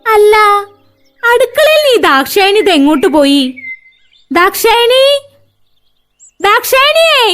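A woman as a chiding younger sister, high lively voice, faint music. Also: takes it slowly, pauses often, full character voice. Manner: gently chiding. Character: younger sister, calm and teasing. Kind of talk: radio drama acting.

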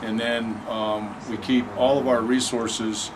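A man in his fifties giving an informal, matter-of-fact on-camera explanation of his workplace as a fire staff officer, with halting delivery. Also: mid-range voice, flat delivery. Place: busy open dispatch office, indoors. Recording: clear background noise, air-conditioning hum.

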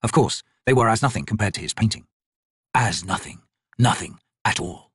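Husky, expressive male audiobook narrator. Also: fairly low pitch, moderate pace, no echo, clean studio sound. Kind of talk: scripted narration of a fantasy novel.

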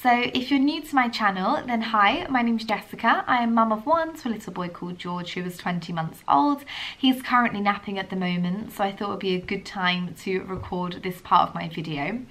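A woman's voice: mid-range voice, a little fast, a bit bright. A woman in her twenties with a stuffy nose from a cold, chatting casually to camera in her living room, a little unsure and saying "um" often. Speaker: vlogger, young mum. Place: living room at home, indoors. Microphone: camera on a table in front of her.

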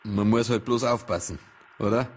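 An actor playing a matter-of-fact, probing small-town police detective with a Bavarian accent in a radio drama. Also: male voice, lowish voice, steady tone, normal speed, slightly rough. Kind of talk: naturalistic radio drama dialogue.

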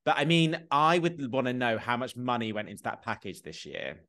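A man's voice: medium pitch, fairly quick. A man, chatting casually and expressively on a video call from home. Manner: expressive, opinionated, gestures while talking. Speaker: co-host. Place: home room.

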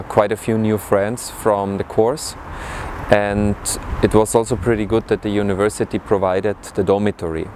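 A young man with an Austrian accent speaking to camera outdoors about his studies, often pausing to find his words. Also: lowish voice, darkish tone, a little hiss or hum. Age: young adult. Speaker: international student. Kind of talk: testimonial to camera. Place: outdoors in a city courtyard. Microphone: lapel mic.

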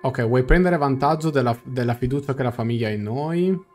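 A man's voice: mid-range voice, a little fast, a little mellow. A young man casually translating game dialogue aloud and musing as he goes, in a live reaction stream. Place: small indoor room. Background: game music.

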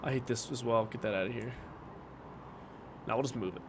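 A man's voice: medium pitch, fairly quick, background noise. A young man with a headset giving casual commentary on a city-building game he plays, sniffling a little from a cold. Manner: chatty, talks to himself while building. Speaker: gaming streamer. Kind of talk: casual let's-play commentary. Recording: game music playing behind.

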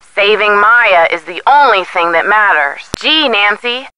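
A woman's voice: mid-range voice, expressive, unhurried, sharp tone, smooth, dry recording, low-quality recording. An actress playing a bubbly, girlish young woman, a supportive and playful sidekick in a video game. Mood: cheerful, supportive. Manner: chirpy, playful.

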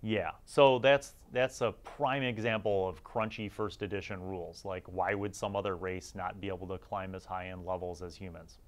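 A man's voice: deep voice, steady tone, moderate pace, few pauses. A middle-aged man giving casual, opinionated review commentary in an informal, conversational way as the host of a series.

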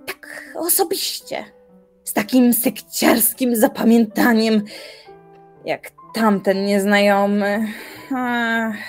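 A woman's voice: fairly high pitch, darkish tone. An actress playing an obsessive young woman musing aloud theatrically in a radio play. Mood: brooding, dissatisfied. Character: intense obsessive young woman, brooding philosopher. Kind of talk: radio play dramatic monologue.

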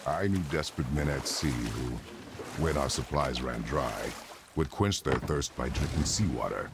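An actor playing a grim, terse warrior father in a video game, with a deep gravelly growl. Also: animated, moderate pace, heavy background noise, exaggerated voice. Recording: game audio over music.

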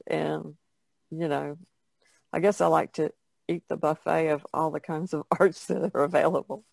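A woman's voice: deep voice, creaky, no echo. A woman painter chatting casually as a podcast guest, explaining loosely with trailing asides. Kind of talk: casual chat about painting.

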